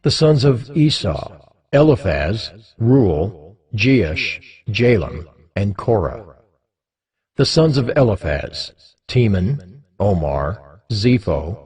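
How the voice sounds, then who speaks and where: A man reading scripture as scripted, dramatized narration, in a solemn, measured manner with deliberate pauses. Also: on the low side, a little mellow, slightly rough. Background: background music.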